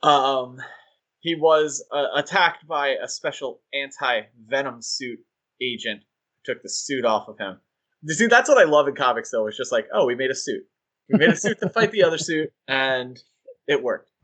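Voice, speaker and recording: A man's voice: mid-range voice, animated, normal speed, fairly bright tone. A man co-hosting a podcast, talking casually and joking with many fillers. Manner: chatty, joking, with frequent fillers. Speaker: podcast co-host.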